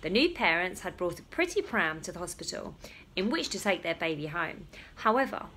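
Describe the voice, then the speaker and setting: Animated, bright-voiced woman reading a children's story. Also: on the low side, slight background noise. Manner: dramatic, emphatic storytelling with drawn-out words. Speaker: storybook reader. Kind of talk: expressive children's story narration.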